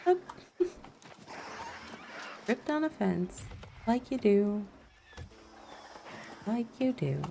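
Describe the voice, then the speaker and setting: A woman's voice: on the high side, lively intonation, extremely slow, gravelly, pauses often, dark tone, slight background noise. A woman streamer giving casual live gameplay commentary, chatty and thinking aloud as she goes. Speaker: game streamer.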